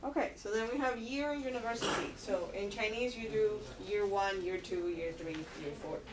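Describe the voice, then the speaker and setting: A patient woman teaches an English class, explaining words slowly and prompting students. She sounds distant in a roomy classroom, with steady noise behind her. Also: medium pitch. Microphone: far-off recorder.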